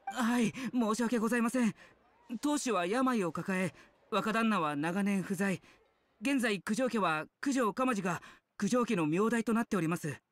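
An actor playing an anxious young clan retainer in an anime game, humble, apologetic and worried. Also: male voice, high-pitched, normal speed, fairly bright tone, dry recording. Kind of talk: Japanese anime game voice acting.

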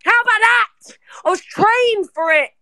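A worked-up woman with a London accent argues aggressively, circling back on the same phrases. Her voice is extremely high and piercingly bright, recorded dry and close in a heated voice chat. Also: moderate pace, no echo. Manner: confrontational, aggressive, repetitive, swearing. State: angry, worked up. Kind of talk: heated argument in a live online group voice chat.

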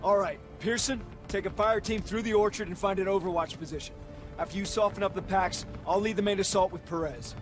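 An actor playing a commanding American army lieutenant of World War Two in a war game, giving firm, clipped orders. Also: male voice, sits high, brisk, soft background music, natural voice. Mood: steady, commanding. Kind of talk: cinematic war game acting. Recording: loud battle score and gunfire behind.

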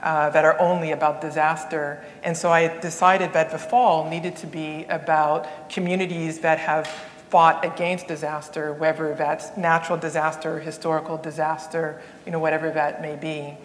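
A woman from Haiti, raised in Canada, hosts a conversation on stage. She speaks thoughtfully and haltingly, with many fillers. Her voice is very low, and it has a long reverb from a large hall. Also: little pitch movement, a little hiss or hum. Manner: thoughtful, hesitant, frequent restarts and fillers. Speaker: event host and interviewer. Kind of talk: onstage conversation. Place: theater stage, seated in armchair.

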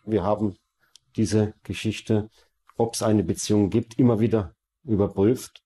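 A man speaks as an investigator in a documentary interview. His voice is fairly low and very even, and he feels his way through sentences, often rephrasing them. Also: measured pace, breathy edge.

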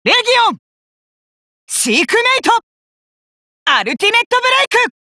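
An actor voicing a cocky, hot-blooded young male fighter in an anime card game, rough-talking and arrogant, with emphatic battle cries. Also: very high voice, very animated, normal speed, bright, forceful tone, dry recording, studio quality, exaggerated voice. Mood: commanding, intense.

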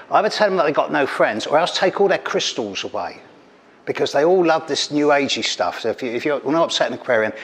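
A man's voice: mid-range voice, fairly bright tone. Caustic middle-aged man doing stand-up. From England. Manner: caustic, teasing, punchy delivery. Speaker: stand-up astrologer. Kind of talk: stand-up comedy routine. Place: stage of an event hall. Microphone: handheld mic.

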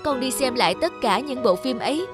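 An actor playing an earnest young animator son who longs for approval, speaking emotionally in an animated drama. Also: male voice, extremely high pitch, brisk. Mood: moved, proud. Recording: background music under the voice.

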